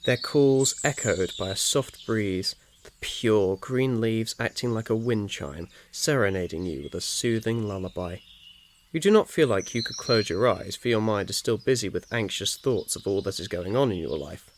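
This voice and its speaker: Low-voiced man narrating a soothing story. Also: expressive, normal speed. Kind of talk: scripted second-person ASMR story narration. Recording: faint noise, nature ambience behind. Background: birdsong, insects, nature ambience.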